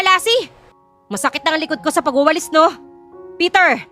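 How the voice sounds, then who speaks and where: A woman's voice: high voice, bright, forceful tone. A woman voicing a bitter, scheming middle-aged mother in an audio drama, scolding and grumbling.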